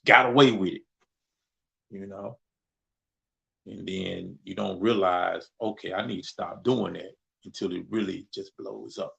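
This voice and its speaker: Young man with a Mississippi Southern drawl, heavy vocal fry, reflective and conversational, repeats himself for emphasis. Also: sits low, fairly even intonation, measured pace, a bit bright. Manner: reflective, repeats phrases, laughs easily. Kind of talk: casual conversation.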